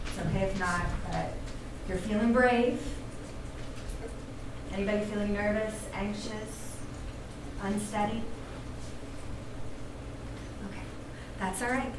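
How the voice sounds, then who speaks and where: A woman leading a workshop, speaking gently and invitingly to a group, with fillers. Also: mid-range voice, steady tone, takes it slowly, pauses often. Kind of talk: guided workshop talk with meditation. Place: room with a live audience. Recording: roomy, distant mic with room noise.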